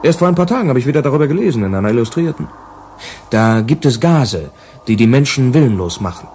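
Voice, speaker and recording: A man's voice: medium pitch, sing-song, fast talker, a little mellow, slight background noise, natural voice. An actor in a German sci-fi radio play, playing a deferential, hesitant assistant who is eager to please his boss.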